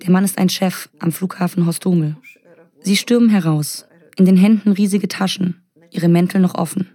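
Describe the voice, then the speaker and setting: A woman speaking as the voice-over translation of an interviewee in a documentary, in a quiet, steady, matter-of-fact way. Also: lowish voice, normal speed, close to an everyday voice.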